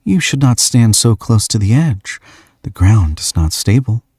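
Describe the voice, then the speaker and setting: A soft-spoken female demon with a calm, alluring manner and careful, formal phrasing, as a low-voiced man reads her. The voice sits deep and even, close to the mic in a dry, dead space. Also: slightly rough, dry recording, exaggerated voice. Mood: curious. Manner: soft, measured, formal speech without contractions.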